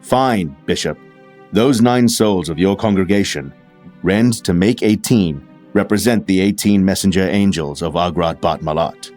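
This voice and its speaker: A man's voice: sits low, a little slow, a little mellow, faint music behind. An actor playing a learned, pedantic clergyman scholar in an audio drama, precise and dryly sardonic. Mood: sardonic, grave. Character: learned, condescending male occult scholar, clergyman. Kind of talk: dramatic audio fiction acting.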